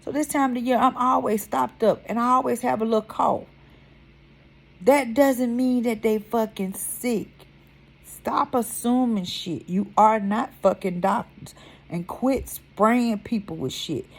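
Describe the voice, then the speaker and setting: Blunt, cussing woman with a gravelly edge. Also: fairly high pitch, unhurried, low-quality recording. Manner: blunt, heated, swears freely, repeats for emphasis. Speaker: vlogger. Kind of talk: casual ranting vlog.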